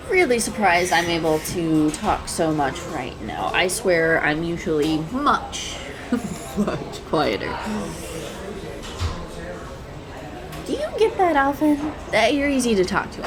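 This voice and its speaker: A woman playing a shy, anxious, adorkable young woman in an intimate roleplay audio, rambling hesitantly and stammering. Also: on the low side. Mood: warming up, rambling. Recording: clear background coffee-shop ambience noise. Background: coffee shop ambience sound effects.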